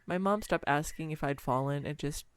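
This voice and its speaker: A woman's voice: low-pitched, moderate pace, smooth, close to an everyday voice. A woman playing a clumsy, nervous young woman on a first date in an audio roleplay, rambling and self-deprecating. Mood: embarrassed, sheepish. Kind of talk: intimate audio roleplay monologue.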